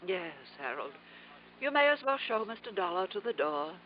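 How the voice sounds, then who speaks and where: A muffled, hissy old radio drama carries an actress as a wealthy eccentric aunt, an older woman with a high, very lively voice. She is theatrical and willful, with a sentimental streak beneath the imperious manner. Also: moderate pace, natural voice. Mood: brisk, imperious. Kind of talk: 1950s radio drama acting. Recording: muffled, noisy vintage radio recording.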